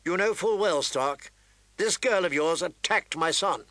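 A man's voice: sits high, measured pace, fairly bright tone, dry recording, voice pushed up. A man voicing a haughty, hostile queen with an indignant, accusing manner in a storybook reading. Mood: cold accusation.

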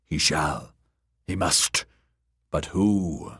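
A man voicing a crowd of fervent, shouting zealots chanting in a fantasy audiobook. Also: very deep voice, extremely slow, breathy edge, dry recording, studio quality, exaggerated voice. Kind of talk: dramatised audiobook character voice.

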